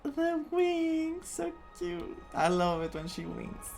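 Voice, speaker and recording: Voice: high voice, sing-song, slow, gravelly, over music. A game streamer giving casual, exclamatory playthrough reactions, talking to the screen.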